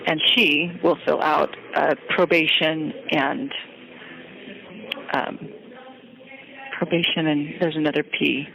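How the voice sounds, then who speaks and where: A woman inmate talking haltingly and deliberately on a recorded phone call. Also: sits low, flat delivery, creaky. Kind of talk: phone conversation. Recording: jail phone call with background chatter.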